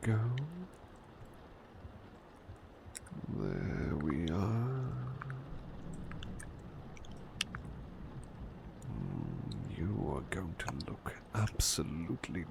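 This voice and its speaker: A man acts out a devoted, gentle butler in a personal-attention ASMR roleplay. He speaks slowly and softly with a gravelly creak and lively rises and dips, often dropping to a whisper. His manner is polite, tender and slightly hesitant. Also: low-pitched, background noise, heavily acted. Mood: soothing, tender.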